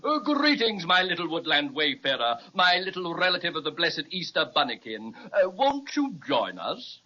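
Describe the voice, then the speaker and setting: A man's voice: fairly high pitch, wildly swooping pitch, moderate pace, pushed and bright, a little hiss or hum, full character voice. A man playing a pompous, fussy and timid dragon who speaks in flowery phrases, in vintage children's radio style. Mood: courtly. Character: pompous, timid, theatrical male patchwork dragon. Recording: dull lo-fi old radio recording.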